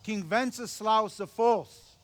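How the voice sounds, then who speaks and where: An actor playing a haughty knight envoy of an invading king in a game, formal, measured and coolly menacing. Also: high voice. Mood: proclaiming, haughty. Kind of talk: game cutscene dramatic acting.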